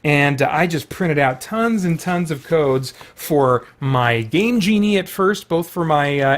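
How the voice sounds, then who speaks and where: Higher-pitched man rambling casually. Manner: chatty, meandering, self-interrupting asides. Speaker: video host showing a collection. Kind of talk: casual rambling vlog chat.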